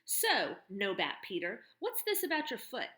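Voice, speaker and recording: A woman's voice: medium pitch, wildly swooping pitch, a little slow, sharp tone, exaggerated voice. A woman narrator voicing a fierce, sly one-legged woman who questions a boy sharply and mockingly. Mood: interrogating. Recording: roomy echo.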